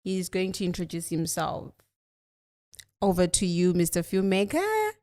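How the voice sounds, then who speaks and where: A woman hosts a podcast from a studio, her voice a little lower than usual for a woman. She talks in an upbeat way, teasing her guest playfully as she moves the interview along. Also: very animated, measured pace, warm tone. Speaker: podcast host.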